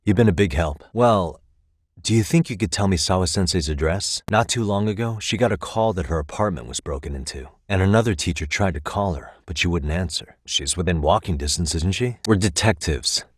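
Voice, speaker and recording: A deep american male detective in a video game, streetwise n blunt, super dry close sound. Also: expressive, dry recording. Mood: polite pressing. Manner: measured, probing, persuasive, dryly blunt. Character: determined, streetwise adult male private detective. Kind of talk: naturalistic video game dialogue acting.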